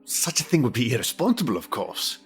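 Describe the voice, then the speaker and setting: A man playing a fastidious, analytical senior inspector, speaking with precise, formal pomposity in an audio drama. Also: mid-range voice, wildly swooping pitch, husky, soft background music, full character voice. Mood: measured, reasoning.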